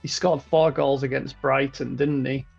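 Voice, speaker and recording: A man's voice: mid-range voice, little pitch movement, unhurried, creaky, darkish tone, breathy edge. A man appearing as a guest on a casual sports podcast, chatting informally through a dull, lo-fi microphone. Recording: dull lo-fi mic, faint music.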